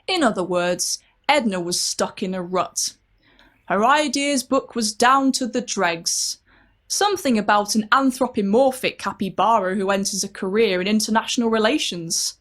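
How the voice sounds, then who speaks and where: A woman's voice: mid-range voice, moderate pace, a bit bright. A woman reading a scripted story aloud as a dramatic narrator.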